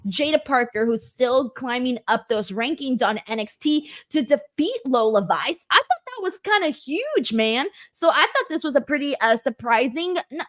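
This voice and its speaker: A woman's voice: sits high, animated, normal speed, hardly any pauses, fairly bright tone. A woman hosting a casual wrestling review show, recapping matches with enthusiasm. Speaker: host.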